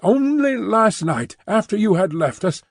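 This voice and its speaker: A man voicing an earnest, restless young gentleman in formal, thoughtful, hesitant audiobook dialogue. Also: high voice, very animated, measured pace, vocal fry, breathy edge, no room sound, clean studio sound, pitched above the performer's own voice, full character voice.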